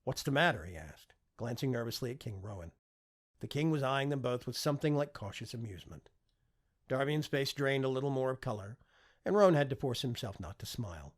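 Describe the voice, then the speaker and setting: A man narrating a fiction audiobook with expressive, scripted storytelling delivery. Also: mid-range voice, a little fast.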